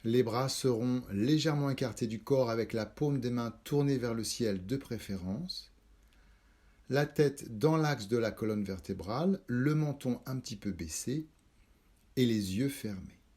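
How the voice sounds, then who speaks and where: A man leading a guided yoga nidra meditation, calm and measured, instructing in short spaced phrases. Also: medium pitch, husky. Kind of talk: slow guided relaxation meditation.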